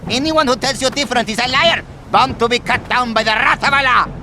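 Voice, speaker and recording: An actor playing a servile, self-pitying desert trader with a pleading, theatrical manner in a video game cutscene. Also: male voice, very high-pitched, brisk, bright, forceful tone, slight background noise, exaggerated voice. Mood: indignant wailing. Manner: fawning, pleading, melodramatic. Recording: music behind the voice.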